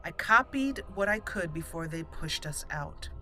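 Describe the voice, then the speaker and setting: A woman hosting a roleplay game playthrough, commenting and reading aloud deliberately as she thinks. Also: fairly low pitch, lively intonation, sharp tone. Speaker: let's play host. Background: game music.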